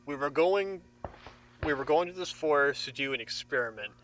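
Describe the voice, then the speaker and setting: A man's voice: fairly high pitch, expressive, moderate pace, fairly bright tone, a little hiss or hum, low-quality recording. An actor in an audio drama playing a frightened, defiant young man held captive, with an agitated, confrontational manner. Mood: subdued.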